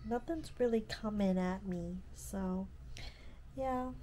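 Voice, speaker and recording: A woman's voice: medium pitch, slow pace, dark tone, a little hiss or hum. A young woman in her late teens with a stuffy nose from allergies, chatting casually in her bedroom about a book she is reading, full of "like" and "um". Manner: chatty, lots of "like" and "um", easygoing. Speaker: vlogger. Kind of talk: casual reading vlog chat. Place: bedroom, indoors. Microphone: camera at close range.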